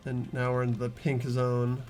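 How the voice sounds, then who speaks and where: Guy doing casual game commentary, chatty, normal pitch, faint music behind him. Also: monotone, unhurried, darkish tone. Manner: informal, chatty. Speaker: playthrough host and voice actor. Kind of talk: casual gameplay commentary with dialogue reading.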